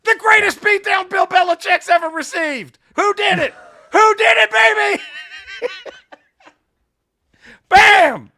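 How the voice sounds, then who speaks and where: A man co-hosting a comedy podcast, trading profane, mocking banter and shouting when he gets excited. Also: extremely high pitch, slow pace, vocal fry, pauses often, dry recording.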